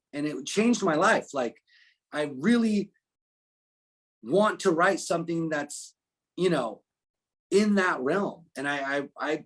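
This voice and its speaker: A man with a slightly high, husky voice talks casually as a musician being interviewed. His delivery is animated and conversational, loose and unpolished. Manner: animated storytelling, frequent profanity and filler phrases. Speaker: musician guest.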